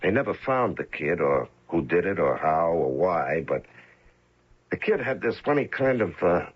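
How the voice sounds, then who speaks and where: An American man acting in a radio mystery drama as a hired killer posing as a long-lost son, speaking hesitantly with a gravelly, husky voice. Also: low voice, very animated, heavily acted. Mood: halting, earnest. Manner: hesitant, halting delivery. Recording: dull lo-fi old radio recording.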